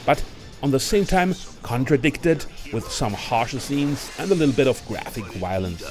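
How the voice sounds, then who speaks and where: A man's voice: medium pitch, lively intonation, normal speed, loud music behind the voice. A man hosting a countdown video, reading a scripted film review as narrator. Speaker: video list host and narrator.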